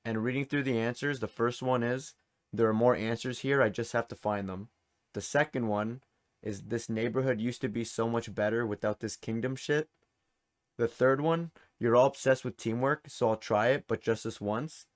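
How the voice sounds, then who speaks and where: A man's voice: medium pitch, fairly even intonation, normal speed. A man with a mid-range voice acts as quiz host. He talks informally and casually, reading out questions and multiple-choice options as he goes. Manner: informal, reads questions and answer options aloud. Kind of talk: hosting a game trivia quiz in casual chat.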